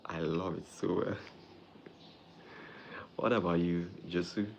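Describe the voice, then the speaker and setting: A man from Ghana, hosting a live stream as a doctor and warmly greeting viewers by name. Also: low voice, fairly even intonation, takes it slowly, vocal fry, muffled recording, slight background noise. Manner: friendly, greets viewers by name, repeats phrases.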